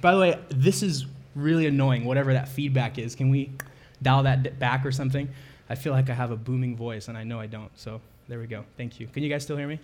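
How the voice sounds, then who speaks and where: A man preaching to his congregation in a relaxed, conversational way, often correcting himself and checking in with listeners, on a microphone through a church sound system. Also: medium pitch. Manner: conversational, self-correcting, asks the congregation questions. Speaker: pastor. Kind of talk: sermon. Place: church sanctuary.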